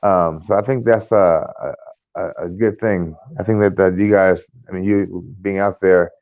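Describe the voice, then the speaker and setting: American male co-host, lowish voice, halting and rambling, tinny phone-like sound. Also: steady tone, no room sound. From United States. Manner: rambling, halting, many fillers and restarts. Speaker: podcast co-host. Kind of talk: casual chat.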